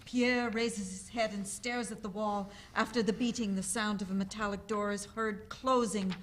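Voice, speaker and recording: A woman's voice: medium pitch, fairly even intonation, moderate pace, a little hiss or hum. A woman reading stage directions as scripted narration for a play.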